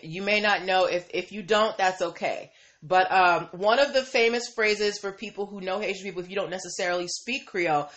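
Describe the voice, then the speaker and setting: A woman with a medium-pitched voice talks casually on her live stream. She reads out viewer comments and bounces questions back to her audience. Her speech is loose and filler-heavy, and she keeps checking in with a "right?". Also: a bit bright.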